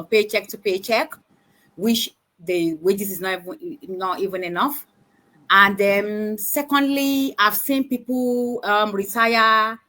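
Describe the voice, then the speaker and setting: A woman's voice: mid-range voice, pushed and bright, low-quality recording. A woman on a live stream panel telling her own story in an earnest, hesitant way with many fillers. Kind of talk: personal testimony in a live stream.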